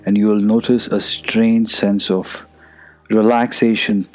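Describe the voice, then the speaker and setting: A man guides a meditation in a fairly low voice. He speaks very slowly and soothingly, at times hushed, leaving plenty of room between phrases. Faint music plays behind him. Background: soft music.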